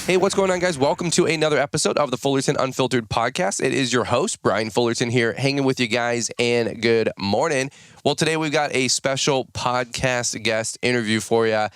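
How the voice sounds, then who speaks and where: An American man in his thirties hosting a podcast in casual, rambling chat, his voice a little raspy from just waking up. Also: medium pitch, brisk, a bit bright, no room sound, studio quality. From United States. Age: 30s. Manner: chatty, rambling, folksy. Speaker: podcast host.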